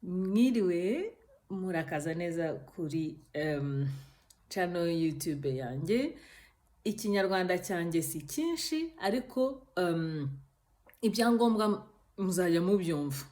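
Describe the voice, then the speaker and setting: A woman, a Kinyarwanda speaker, hosting her own channel and talking earnestly to camera, pausing often with fillers. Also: fairly low pitch, expressive, a little mellow. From Rwanda. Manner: earnest, hesitant with frequent fillers. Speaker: YouTube channel host. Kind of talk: casual talk to camera.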